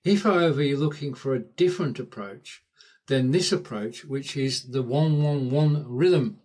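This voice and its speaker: A man's voice: medium pitch, a little mellow. Chatty Lancashire man hosting a live stream. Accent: Northern English, Lancashire. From Lancashire, England. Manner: chatty, thanks viewers by name, rambling asides. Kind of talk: casual live-stream chat. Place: home in rural England.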